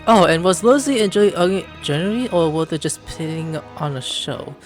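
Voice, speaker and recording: A woman commenting on a video game she is playing. Her voice sits a little low but moves around a lot, playful as she reads lines aloud. Faint music plays behind her. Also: animated, brisk. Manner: playful, bursts into loud put-on voices. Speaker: game streamer. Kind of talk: playthrough commentary, reading dialogue aloud.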